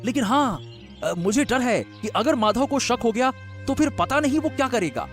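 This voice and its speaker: A man voicing a greedy, lying elder brother with a sly, flattering manner in a moral-story cartoon. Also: very high voice, moderate pace, soft background music. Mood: conspiratorial. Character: scheming young man, greedy elder brother who lies. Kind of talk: animated Hindi moral-story dialogue.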